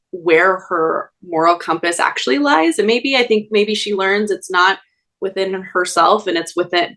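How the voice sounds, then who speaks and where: Thoughtful woman with a low voice. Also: fairly bright tone. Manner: thoughtful, hesitant, many ums. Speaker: actress. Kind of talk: casual interview answers.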